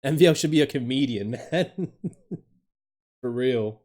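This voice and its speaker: A man's voice: mid-range voice, measured pace, darkish tone. Male streamer, casual, loose and swears easily, laughs a lot while chatting with viewers on a home desk mic. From Dominican Republic. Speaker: live streamer.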